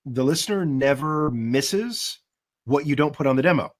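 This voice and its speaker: Thoughtful man with a mid-pitched voice. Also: expressive, moderate pace. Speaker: guest casting director. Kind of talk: conversational interview advice.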